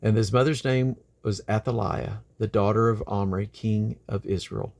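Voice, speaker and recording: A man reading the Bible aloud in a creaky voice, delivered deliberately, phrase by phrase, with pauses. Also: lowish voice, fairly even intonation, darkish tone. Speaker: Bible reader. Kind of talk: scripture reading aloud from the King James Bible.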